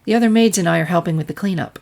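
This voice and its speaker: A woman's voice: on the low side, racing, creaky, a little mellow. A woman voicing a proper, cordial head-maid pony, an elder sister with polite, practiced authority, in a story reading. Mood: polite, measured. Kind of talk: fan fiction character reading.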